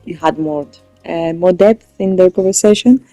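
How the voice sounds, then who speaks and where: A woman's voice: fairly low pitch, moderate pace, dark tone, dry recording, soft background music. A woman giving casual let's play commentary, hesitant and thinking aloud as she goes. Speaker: gamer, let's play host.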